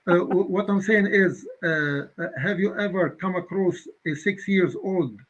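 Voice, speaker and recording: Man, 50s, high-pitched, hesitant and halting debater, muffled lo-fi sound. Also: slight background noise. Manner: halting, many filler pauses, non-native phrasing. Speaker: guest debater. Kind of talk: online debate.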